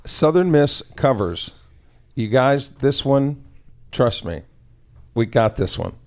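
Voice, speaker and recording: A man's voice: medium pitch, expressive, slow, darkish tone. A man on a sports radio panel chatting casually, giving confident, emphatic opinions.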